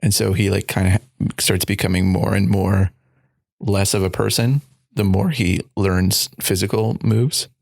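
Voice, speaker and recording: A man's voice: low voice, fairly even intonation, a little slow, gravelly, darkish tone, no echo, clean studio sound. A man co-hosting a casual movie podcast, joking and slipping into goofy voices. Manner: jokey, puts on goofy voices for characters. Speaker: podcast co-host.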